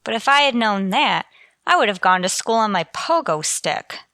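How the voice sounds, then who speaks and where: A woman playing a wry, quick-witted schoolteacher in a radio comedy, with deadpan wisecracks. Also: mid-range voice, no echo, studio quality. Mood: sardonic. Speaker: actor. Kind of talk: old-time radio comedy acting.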